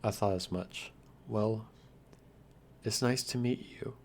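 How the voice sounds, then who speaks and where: A man playing a shy, lonely, youthful vampire lord in an ASMR roleplay, stammering earnestly with hesitant, softly formal manners. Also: fairly low pitch, slow pace. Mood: shy, amused. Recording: clear background hiss and noise.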